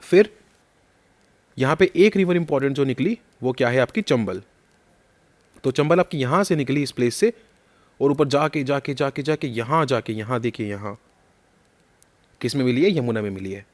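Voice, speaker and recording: A man teaches a map lesson for exam prep at a middle pitch. He quizzes the listener as he goes and repeats the key names. His throat is a little sore. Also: normal speed, pauses often.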